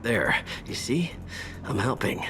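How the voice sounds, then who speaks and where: An actor playing a wounded mercenary grunt in a video game, in pain, stammering and complaining bitterly. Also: male voice, mid-range voice, big pitch swings, slow, music in the background. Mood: nervous, testy. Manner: sweary, stammering, whining complaints. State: in pain, bleeding. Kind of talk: video game cinematic acting.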